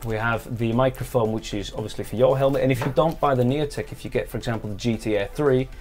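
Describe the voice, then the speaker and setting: A man's voice: mid-range voice, quick pace, a little mellow, faint music behind. A man giving a casual hands-on product walkthrough as a shop technician, explaining step by step.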